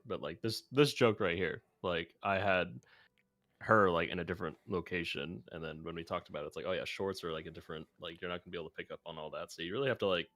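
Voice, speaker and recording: A young man co-hosting a stream, chatting casually in a halting, laid-back way on a close mic. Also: low-pitched, fairly bright tone, no room sound. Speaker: video editor co-hosting a stream. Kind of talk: casual chat.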